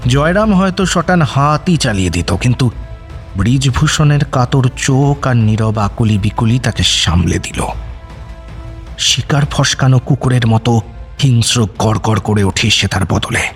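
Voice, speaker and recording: A man's voice: medium pitch, expressive, a little slow. A man narrating a suspense story as the storyteller of an audio drama, reading scripted prose dramatically. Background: faint music.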